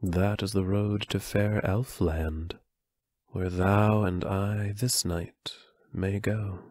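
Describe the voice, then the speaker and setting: An actor playing a grave mentor figure in an audio play, measured and solemn. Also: male voice, sits low, steady tone, a little mellow, no echo, clean studio sound. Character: grave male mentor steeped in old ballads. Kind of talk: audio play acting with verse recitation.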